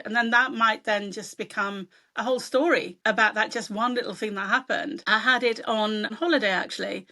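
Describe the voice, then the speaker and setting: A woman's voice: mid-range voice, sharp tone. A middle-aged woman from Sweden, an author, chatting casually and telling stories in an interview. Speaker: author guest.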